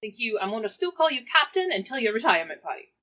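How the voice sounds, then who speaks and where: A woman plays a tabletop game in a casual, improvised way. Her voice is fairly high and bright, and it moves expressively as she tells what her character does. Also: very animated, fast talker. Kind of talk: casual improvised role-play.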